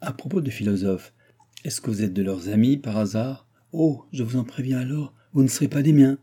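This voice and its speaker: A man's voice: mid-range voice, brisk, mellow, slightly rough, pitched above the performer's own voice, lightly acted. A man voicing a cynical, proud old baron in an audiobook, ranting in sardonic exclamations. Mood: suspicious, mocking. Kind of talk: audiobook character dialogue.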